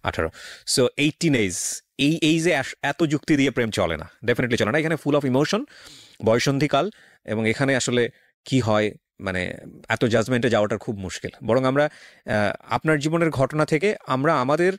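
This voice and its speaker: A man's voice: mid-range voice, lively intonation, normal speed, no echo, studio quality. A man with a Bangladeshi accent hosting a radio interview, reflecting and advising, often switching into English phrases. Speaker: radio show host.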